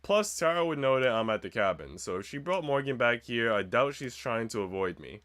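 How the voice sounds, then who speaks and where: A man's voice: medium pitch, big pitch swings, a little fast, fairly bright tone, no echo, clean studio sound. A man reading a visual novel aloud as a streamer, narrating the script and dropping in slangy, joking asides.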